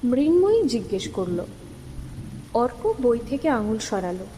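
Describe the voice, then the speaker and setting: Reverberant, higher-pitched woman narrating a story. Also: big pitch swings, measured pace, mellow, a little hiss or hum. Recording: long reverb under music. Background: music.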